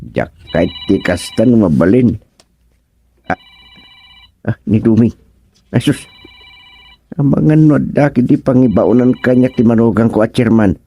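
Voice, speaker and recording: Man acting a slow, muttering older Ilocano father-in-law. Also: medium pitch, lively intonation, warm tone, breathy edge. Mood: grumbling, musing. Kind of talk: Ilocano radio comedy drama acting.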